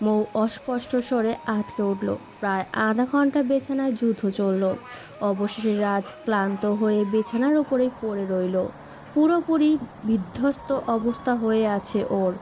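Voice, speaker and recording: A woman's voice: fairly high pitch, normal speed, darkish tone. A woman reading a scripted story as its narrator. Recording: dull muffled sound with music behind.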